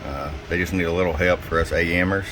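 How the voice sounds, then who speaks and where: A man's voice: low-pitched, a little fast. A man giving a casual, folksy, unscripted product demonstration as a radio technician, talking directly to a customer. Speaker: radio technician presenting tune-up reports. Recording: clear steady background hum and noise.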